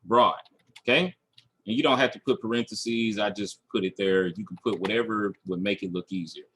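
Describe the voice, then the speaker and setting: A man's voice: lowish voice, normal speed, fairly bright tone, slightly rough. A man teaching online marketing in a screen-share tutorial, talking through each step aloud and checking in as he goes. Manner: instructional, checking in with "okay" and "all right".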